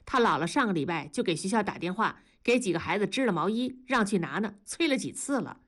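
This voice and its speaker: A woman's voice: medium pitch, expressive, brisk, fairly bright tone. A woman playing a short-tempered young mother. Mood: curt.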